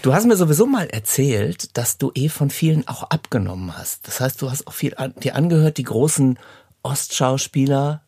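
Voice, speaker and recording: Husky male interviewer, casual. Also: mid-range voice, expressive, moderate pace, mellow, no echo, studio quality. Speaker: interviewer, host.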